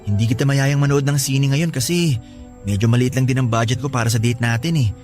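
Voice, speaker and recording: A man playing a sweet, eager young college boyfriend in a Filipino radio drama, gentle and a little hesitant. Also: mid-range voice, fairly quick, soft background music, close to an everyday voice. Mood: sweet, cheerful.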